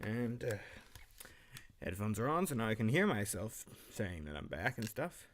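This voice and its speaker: A man's voice: fairly low pitch, very animated, moderate pace. A man who voice-acts as an amateur, muttering casual, self-critical asides to himself between takes. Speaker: amateur voice actor recording lines.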